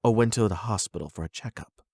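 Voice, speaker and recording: A man acting as a calm, patient doctor in an audio roleplay, speaking in a formal, measured and clinical manner. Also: on the low side, creaky, breathy edge, dry recording, studio quality. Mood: patient, probing. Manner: measured, polite, explanatory.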